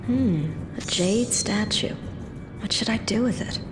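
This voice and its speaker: Woman as a terse adventurer over loud music. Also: mid-range voice, measured pace, natural voice. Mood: matter-of-fact. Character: terse, self-possessed adult female adventurer. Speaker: player character. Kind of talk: short game voice lines. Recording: loud game music underneath. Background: loud background music.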